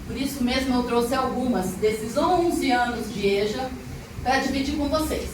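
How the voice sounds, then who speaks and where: A woman's voice: high voice, moderate pace. A middle-aged woman, a storyteller and arts teacher, telling stories expressively and chatting directly with a live audience. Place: echoey room with a live audience. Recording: echoey room, distant mic, noisy ambience.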